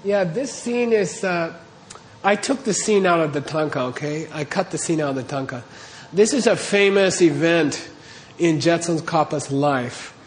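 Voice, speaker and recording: Lecturing man with a higher voice. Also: moderate pace, a little hiss or hum. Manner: explains terms step by step, checks in with "okay?". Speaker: teacher. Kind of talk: classroom lecture on Tibetan texts.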